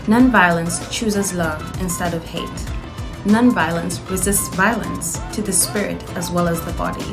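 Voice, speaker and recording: Low-voiced young woman reading, music behind. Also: unhurried. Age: 20s. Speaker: university student reader. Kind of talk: reading aloud.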